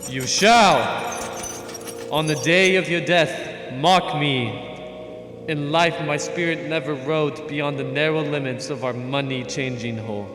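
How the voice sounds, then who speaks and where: An actor in a radio drama playing a mournful, chained ghost who gives solemn, ominous warnings in drawn-out declamation. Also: male voice, on the high side, lightly acted. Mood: anguished. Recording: cavernous long reverb under music.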